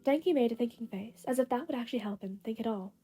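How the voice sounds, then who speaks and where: A woman's voice: fairly high pitch, fast talker, mellow, husky, soft background music. A woman reading fan fiction aloud as a scripted storytelling narration. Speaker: podfic narrator.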